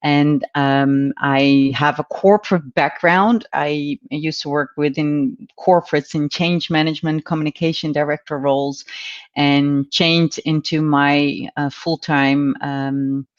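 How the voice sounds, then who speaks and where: A Dutch-accented woman speaks as a guest on a video call. She talks in a reflective way, often pausing on "um" and repeating words as she thinks. The audio sounds a little dull and muffled. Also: low voice, fairly even intonation. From Netherlands. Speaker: guest, business and life coach. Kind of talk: casual interview chat.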